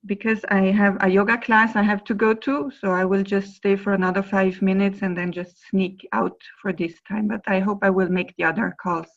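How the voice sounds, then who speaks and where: A woman's voice: mid-range voice, creaky. Woman from Italy, easy self-introduction, lo-fi call audio. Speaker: course participant. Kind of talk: casual self-introduction on a group video call. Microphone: video call.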